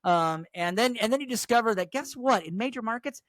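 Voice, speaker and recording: High-voiced chatty male radio host. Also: lively intonation, a little fast, fairly bright tone, no room sound, studio quality. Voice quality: higher voice. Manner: chatty, storytelling, frequent restarts. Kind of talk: casual chat.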